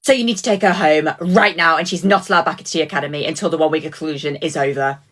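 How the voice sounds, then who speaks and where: A woman's voice: low voice, very fast, a bit bright, voice pushed down. Woman doing a curt head teacher. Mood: stern. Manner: curt, officious. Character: stern strict female head teacher.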